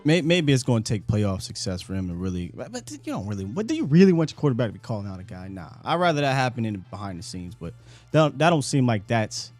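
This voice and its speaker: A man hosting a casual sports call-in show, slangy and laid-back, his voice going hoarse. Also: mid-range voice, very animated, quick pace, a little mellow, no echo, soft background music. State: voice getting hoarse. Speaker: sports talk host.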